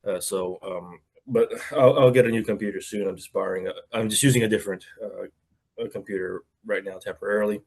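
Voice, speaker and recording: A man with a lowish voice talks through a video call, his throat clogged and thick. He halts often, filling pauses with ums and uhs. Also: darkish tone. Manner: halting, full of fillers. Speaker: podcast co-host. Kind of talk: casual chat.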